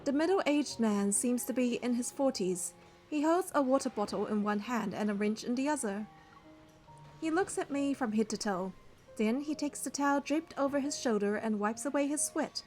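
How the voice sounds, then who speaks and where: An actress playing a caring, curious young heroine who narrates and speaks in a romance game, measured and earnest. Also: female voice, on the high side, darkish tone, faint music behind, close to an everyday voice. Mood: measured, observant. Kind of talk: first-person narration and dialogue in a romance game.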